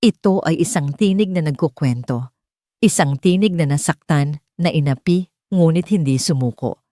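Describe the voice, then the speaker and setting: Low-voiced lady reading a sentimental drama story, really dry studio sound. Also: moderate pace, warm tone, no echo. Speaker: storyteller narrating an audio drama. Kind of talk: scripted dramatic story narration.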